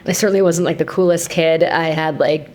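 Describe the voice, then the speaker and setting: A woman from Canada in her thirties, a guest telling her story in an interview at a thoughtful, measured pace. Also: low voice, fairly even intonation, darkish tone, a little hiss or hum. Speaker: guest, company founder. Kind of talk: casual interview.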